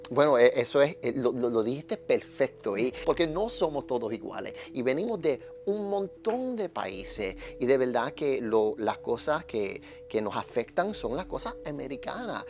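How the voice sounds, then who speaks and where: A man in casual discussion, halting and repeating words, with English fillers slipped in. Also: mid-range voice, sing-song, music in the background.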